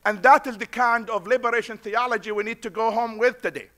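A man's voice: very high-pitched, brisk, a bit bright. A middle-aged man with a foreign accent, an imam giving a conference speech at a podium, preacher-like and repeating lines for emphasis. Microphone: podium mic.

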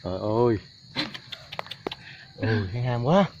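A man's voice: medium pitch, lively intonation, slow, dull audio. A man with a southern Vietnamese Mekong Delta accent, chatting casually and exclaiming as he films outdoors on a phone, often repeating his phrases. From Ben Tre, southern Vietnam. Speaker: vlogger filming with a phone. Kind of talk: casual outdoor vlog chat. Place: outdoors in a rural coconut garden. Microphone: handheld phone behind the camera. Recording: noisy outdoor phone recording with insects.

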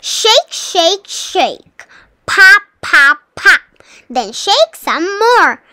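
Very high, childlike voice reading slowly. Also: very animated, sharp tone, dry recording, studio quality. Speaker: children's read-aloud host. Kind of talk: animated, slow storybook read-aloud for early readers.

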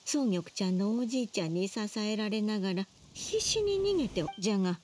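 An actress voicing a frail, gentle old grandmother who speaks in an old-fashioned way, heard as game audio. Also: mid-range voice, very animated, a little fast. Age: older. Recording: game audio with music underneath.

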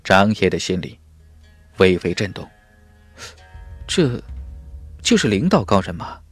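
A man's voice: low voice, very animated, a little slow, breathy edge, no echo. Guy reading a fantasy audiobook, dramatic and emphatic, voice goes up and down a lot, faint music under it. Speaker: audiobook narrator. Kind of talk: dramatic scripted fantasy narration.

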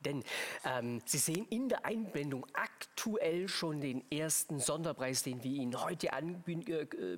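A man with a slightly husky voice, presenting a live shopping pitch effusively and emphatically, with the odd stumble. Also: fairly bright tone. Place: TV studio.

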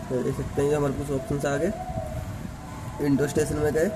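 A man's voice: a little high, little pitch movement, fairly quick. A man giving an unscripted step-by-step installation tutorial in a plain, instructional way, recorded indoors on a handheld device. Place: indoors in a room. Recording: clearly noisy amateur handheld recording.

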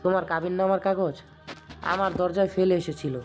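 A man's voice: sits high, fairly even intonation, moderate pace, lightly acted. A man playing a humble, grateful elderly landlord with a pleading, deferential manner. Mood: plain. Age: older. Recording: music bed under the voice.

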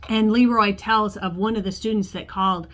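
A woman pastor in her forties preaches from her office desk, telling a story. Her voice sits at a middle pitch, and she talks to the camera as if to a congregation. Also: steady tone, moderate pace, a bit bright. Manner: storytelling, acts out quoted voices, gestures with hands. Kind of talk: sermon. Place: church office with bookshelves, indoors.

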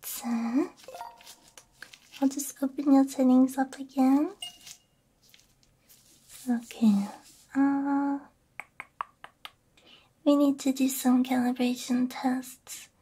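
A woman whispering softly in an ASMR roleplay, gentle and reassuring, speaking close into a binaural microphone. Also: sits high, little pitch movement, extremely slow, long pauses, a little hiss or hum. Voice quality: breathy, mostly whispered. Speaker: ASMR roleplay creator playing a robot repair technician.

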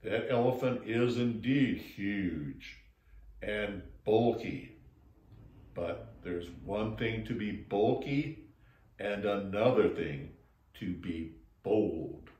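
A storyteller voicing a wise old male toad who speaks slowly and calmly in a deep, measured voice. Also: roomy sound. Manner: slow, deliberate, low and soothing.

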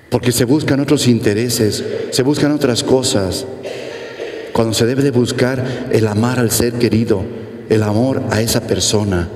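A man's voice: on the low side, a little mellow. A man in his forties with a Mexican Spanish accent, giving a homily at Mass, preaching earnestly and repeating phrases for emphasis. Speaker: priest. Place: large church sanctuary, at the pulpit. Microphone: pulpit mic, medium camera shot. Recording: echoey church with long reverb.

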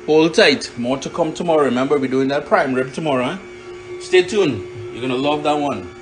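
A man's voice: medium pitch, rough recording. Chatty Caribbean man giving cooking instructions. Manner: chatty, instructive, playful interjections. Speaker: home cook and cooking vlogger. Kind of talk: casual cooking demonstration to followers. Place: home kitchen. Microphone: handheld phone filming the food.

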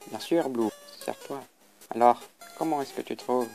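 An amateur voice actor plays a tall, downcast skeleton in a comic dub. The voice is gentle, resigned and mid-pitched, with music clearly behind it. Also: measured pace, lightly acted, low-quality recording. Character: downcast tall skeleton brother, self-deprecating about his cooking.

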